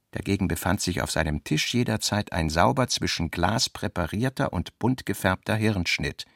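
A man narrates literary prose for a radio production in a low, gravelly voice. The sound is very dry and close, with no room around it. His delivery is that of a scripted reading. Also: no room sound.

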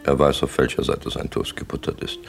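An actor playing a ruthless, businesslike crime syndicate boss in a 1960s German radio play, imperious and lecturing in clipped, matter-of-fact statements. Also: male voice, a little fast, faint music behind, natural voice. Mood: cool, commanding.

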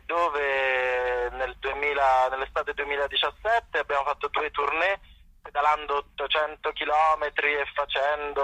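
A man's voice: fairly high pitch, bright, forceful tone, dry recording, poor audio. A man speaking casually as a theatre director and actor in a radio interview, sometimes hesitating and repeating small words.

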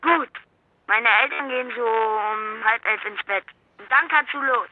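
An actress playing an excitable young boy over a telephone filter in a children's radio play. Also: fairly high pitch, measured pace. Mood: questioning. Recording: tinny, hissy phone line.

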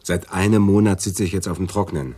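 An actor playing a slick, bitter gambler and cocky schemer in a hard-boiled radio drama, with a casual, insinuating manner. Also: male voice, low voice, fast, mellow, slightly rough. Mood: scheming, bitter.

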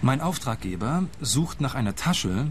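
An actor playing a pushy, bumbling private detective in a radio play, speaking in an earnest, insistent way. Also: male voice, mid-range voice, very animated, unhurried, a little hiss or hum.

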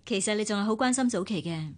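A woman with a Hong Kong Cantonese accent acting a caring, perceptive family confidante in a radio drama, probing and gently admonishing. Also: medium pitch, lively intonation, quick pace, lightly acted. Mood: perceptive, questioning.

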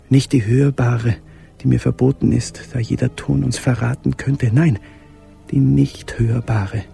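Brooding male letter writer, radio drama, gravelly, dark mellow tone, halting phrasing, tender and secretive. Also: mid-range voice. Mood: sombre. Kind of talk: radio play letter monologue.

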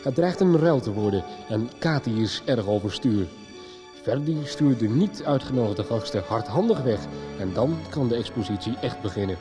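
A man's voice: mid-range voice, lively intonation, normal speed. A man reading a scripted recap as narrator of a radio soap serial. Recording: music behind the voice. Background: music.

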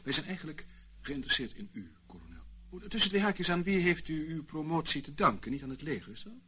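Wry official, noisy recording. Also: male voice, fairly high pitch, very animated, fast talker. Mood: dry, sardonic. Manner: dry, ironic, mock-polite, leisurely officialese. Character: wry, sarcastic male intelligence official, calmly toying with his captive. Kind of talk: radio play acting. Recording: noisy old radio play recording.

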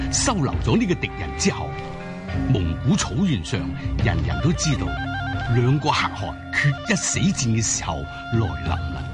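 A Cantonese man tells a historical story in a dramatic, scripted style. His mid-pitched voice swings very widely, over loud background music. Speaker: storyteller, narrator. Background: prominent music.

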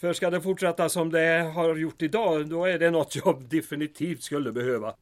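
A man's voice: fairly high pitch, steady tone, close to an everyday voice. En man som spelar en pressad, torrt ironisk borgmästare i ett ljuddrama. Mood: amused. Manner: exasperated, drily ironic. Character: beleaguered, wry male small-town mayor protesting his innocence. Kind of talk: audio drama acting.

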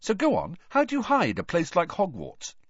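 Man doing a blunt, excitable grumbling teenage schoolboy. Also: high voice, normal speed, slightly rough, no echo, highs cut off, voice pushed up, heavily acted. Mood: sceptical. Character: grumpy, blunt teenage schoolboy, easily exasperated.

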